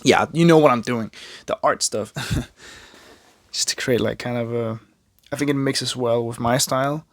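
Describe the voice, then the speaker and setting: Danish accent young guy, gravelly, chatty and self-mocking, medium pitch. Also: moderate pace, long pauses, no echo. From Denmark. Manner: rambling, chatty, self-mocking, many fillers and restarts. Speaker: art vlogger. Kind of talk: casual chat while drawing.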